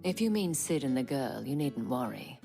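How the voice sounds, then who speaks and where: A poised, mature brothel madame in a game cutscene. Her voice is extremely low for a woman, refined and deliberate with a teasing edge. Music plays softly behind her. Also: big pitch swings, gravelly, heavily acted. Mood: businesslike, concerned. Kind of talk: video game cutscene acting.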